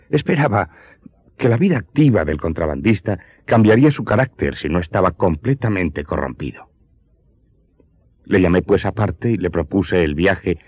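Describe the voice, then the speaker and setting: A man's voice: low voice, slightly rough, no echo, muffled recording, full character voice. An actor playing a Corsican steward and former smuggler who solemnly confesses his past in an audio drama. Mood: somber, troubled. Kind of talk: dramatic first-person storytelling in an audio drama.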